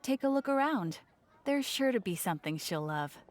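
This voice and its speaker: A woman's voice: fairly high pitch, expressive, normal speed, slight background noise, lightly acted. A woman voicing a friendly, chatty souvenir shopkeeper in a video game, with a chirpy sales patter. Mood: helpful, musing. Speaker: shopkeeper character.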